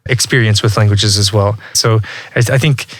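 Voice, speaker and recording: A man from Texas in his thirties, speaking as a podcast guest with a somewhat low voice. He talks in a relaxed, reflective way and restarts his sentences often. The recording is dry and close, with no room sound. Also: moderate pace, no room sound. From Texas, USA. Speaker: guest, language learning entrepreneur. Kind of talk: casual interview talk.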